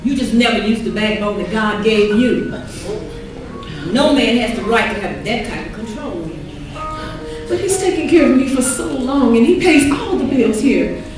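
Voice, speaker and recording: A woman's voice: a little high, lively intonation, normal speed, music in the background, natural voice. A woman acting in a stage drama about abuse, emotional and pleading in her delivery. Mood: distressed, pleading. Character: women in abuse drama: trapped wife, worried friend. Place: theatre stage, captured from afar. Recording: distant, very echoey theatre stage recording.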